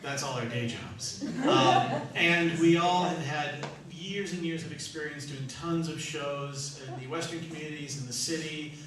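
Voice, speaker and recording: Guy hosting a live q&a, jokey and animated, slightly high voice, super echoey far mic. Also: measured pace, a crowd in the background. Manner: animated, joking, often laughing. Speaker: radio theatre troupe founder and host. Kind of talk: live audience question-and-answer, anecdotes. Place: live hall with an audience. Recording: echoey hall, distant mic, noisy.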